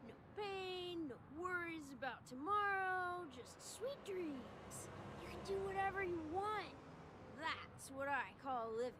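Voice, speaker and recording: Very high, slurred, tipsy little guy. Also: lively intonation, noisy background. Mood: dreamy, blissful. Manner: slurred, tipsy, drawn-out rambling. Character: drunk, dreamy, childlike small male dream-seeker, cheerful. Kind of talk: video game voice acting. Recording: music playing over the voice.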